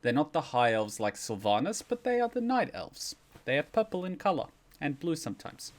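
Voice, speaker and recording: A man's voice: a little high, sing-song, moderate pace. A man explaining a game's story in casual, chatty, lightly joking reaction commentary. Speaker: streamer explaining game story.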